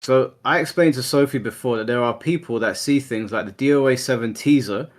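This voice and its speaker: A man's voice: medium pitch, a little fast. A man takes the floor in an online debate and will not give it up. He speaks firmly and assertively, building his case point by point. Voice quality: assertive, firm. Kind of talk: heated debate.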